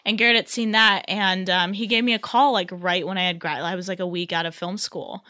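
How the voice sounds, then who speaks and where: Bright, chatty young woman director. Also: mid-range voice, fairly even intonation, brisk. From Southern California. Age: 20s. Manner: chatty, laughs often, many fillers and restarts. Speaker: guest, film director. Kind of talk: casual interview chat.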